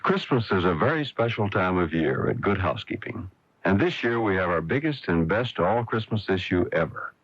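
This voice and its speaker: A man with a husky voice reading a scripted radio advertisement. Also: sits low, very animated, moderate pace. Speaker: magazine editor as advertiser. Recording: dull lo-fi vintage radio.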